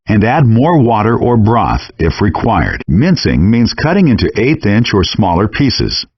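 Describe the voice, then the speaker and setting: Friendly game chef guide, super lively up and down delivery, encouraging, scripted cooking instructions, dull lo-fi game audio. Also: male voice, mid-range voice, breathy edge, no room sound.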